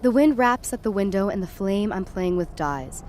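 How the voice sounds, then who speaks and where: A woman's voice: mid-range voice, animated, normal speed, a little mellow, a little hiss or hum, close to an everyday voice. A woman playing a frightened, sceptical, foul-mouthed young woman in a first-person audio drama. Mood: uneasy, wry. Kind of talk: first-person present-tense audio drama narration and dialogue.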